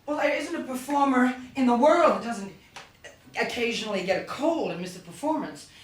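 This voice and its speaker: An American actress playing a middle-aged showbiz star, volatile, wounded and proud, in dramatic screen style. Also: female voice, a little high, expressive, fairly quick, natural voice. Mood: proud, defiant. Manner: theatrical, emphatic, emotional. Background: music.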